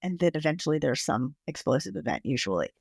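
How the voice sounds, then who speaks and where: Calm woman therapist explaining clearly. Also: sits low, fast talker, dry recording, studio quality. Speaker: relationship therapist. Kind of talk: podcast interview answers.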